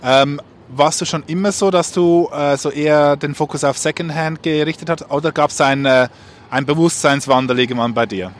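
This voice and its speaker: A man hosting a podcast, speaking casually and off the cuff, haltingly searching for words. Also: fairly high pitch, a little hiss or hum. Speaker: podcast host and interviewer. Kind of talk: casual unscripted podcast talk.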